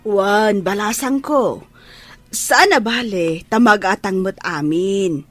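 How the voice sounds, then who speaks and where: An actress playing a kindly market vendor who speaks gently to her customers. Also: mid-range voice, slow pace. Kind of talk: radio drama acting.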